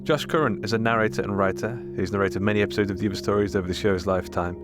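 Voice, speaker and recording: Low-voiced man announcing over music. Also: fairly even intonation, rapid-fire. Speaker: podcast announcer reading credits. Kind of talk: scripted podcast outro. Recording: music under the voice.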